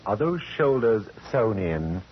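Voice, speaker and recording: An actor playing an arch, flamboyant gentleman sidekick, florid and droll, in 1940s radio acting. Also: lowish voice, sing-song, slow pace. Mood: droll, smitten. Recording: dull old radio recording with hiss.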